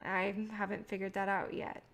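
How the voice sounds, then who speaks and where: A woman's voice: mid-range voice, completely flat delivery, moderate pace, creaky, a bit bright. A woman playing a guarded, idealistic filmmaker, wry and self-deprecating, in a naturalistic staged play reading. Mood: reflective, guarded.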